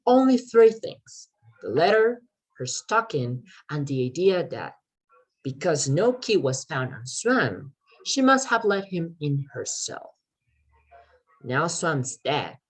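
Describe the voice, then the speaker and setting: An eager crime writer read by a woman in a readers theater play, with a low voice. The delivery is earnest and persuasive, a little halting, as if laying out a careful scheme to rescue a friend. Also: very animated, husky, full character voice, poor audio. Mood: confident, reasoning. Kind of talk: amateur readers theater performance.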